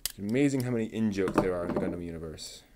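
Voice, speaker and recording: A man talking himself through assembling a model kit, muttering and pausing between steps. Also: low voice, heavy background noise. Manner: slow, halting, with many pauses. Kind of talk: casual build narration.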